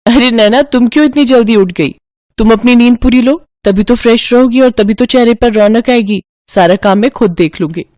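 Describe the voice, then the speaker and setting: A woman's voice: on the high side, moderate pace, a little mellow, dry recording, voice pushed up, lightly acted. Woman doing young bahu in a moral story, sugary bossy and secretly fed up, dry close sound. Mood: sweetly eager. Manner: sweetly bossy, dramatic. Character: scheming young daughter-in-law, sugary to family, secretly resentful.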